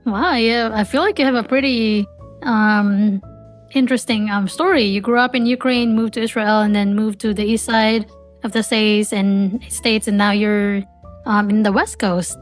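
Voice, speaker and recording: A woman's voice: medium pitch, fairly even intonation, moderate pace, soft background music. Chatty woman from the Philippines, hosting. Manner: chatty, rambling, with repeated restarts. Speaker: podcast host. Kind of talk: podcast interview over video call. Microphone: video call.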